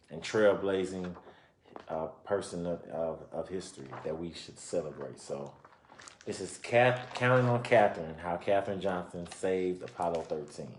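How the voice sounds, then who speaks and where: Low-voiced man reading aloud in echoey room. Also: slight background noise. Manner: pauses to explain and comment to listeners. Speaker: reader presenting a children's book. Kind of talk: read-aloud of a children's book with informal commentary. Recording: roomy, echoing sound.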